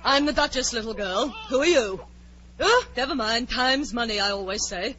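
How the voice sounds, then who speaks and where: An actress playing a brash, ill-tempered older Duchess who snaps and barks dismissively, in 1940s radio drama style. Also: female voice, a little high, animated, moderate pace, bright, forceful tone. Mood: brusque, bossy. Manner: snappish, barking, dismissive. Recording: muffled old radio recording with faint noise.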